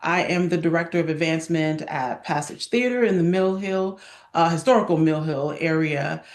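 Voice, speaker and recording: A woman's voice: low voice, moderate pace. An American woman speaking conversationally as an interview guest, introducing herself and her work. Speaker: guest, theater advancement director and actress. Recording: echoey room sound.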